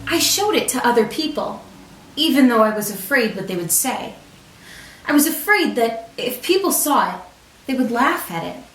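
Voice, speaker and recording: Echoey, expressive woman reading a storybook. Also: fairly high pitch, slight background noise. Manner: expressive, deliberate storytelling for children. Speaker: storybook reader, teacher. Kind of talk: read-aloud picture book narration. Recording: roomy echo, distant microphone.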